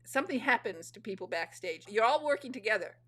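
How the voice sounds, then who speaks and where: A woman's voice: on the high side, lively intonation, fast talker, fairly bright tone, slightly rough. A woman from Michigan hosting an interview, enthusiastic and gushing about her guest's stories. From Michigan, United States. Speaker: podcast host. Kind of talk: casual interview chat.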